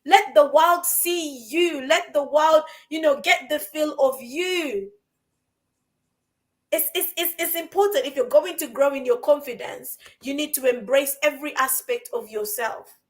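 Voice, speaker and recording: A high-pitched woman hosts a relaxed podcast conversation. She drives her points home by repeating short phrases with rising force, and she talks as an encouraging coach would. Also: measured pace. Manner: emphatic, repeats phrases for stress. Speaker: podcast host. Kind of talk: casual chat about confidence.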